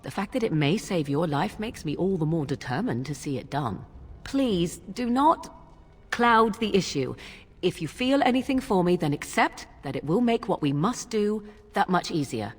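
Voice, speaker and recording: An actress playing a proud, guarded witch in a fantasy video game, speaking in formal, archaic phrasing with cool, deliberate persuasion. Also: lowish voice, very animated, slightly rough, big-room sound. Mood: pleading, tense.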